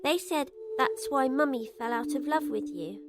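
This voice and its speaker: A woman's voice: sits high, moderate pace, natural voice. A woman playing an innocent, earnest little girl in an audio drama, speaking in simple, hesitant childlike phrases. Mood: curious, innocent.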